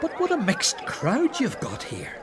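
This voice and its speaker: A man's voice: high voice, huge pitch swings, unhurried, noisy background, exaggerated voice. An actor playing a snobbish, mocking man at a feast in a radio drama, chuckling as he talks. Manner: sneering, amused, chuckling. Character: mocking older man, snobbish party guest.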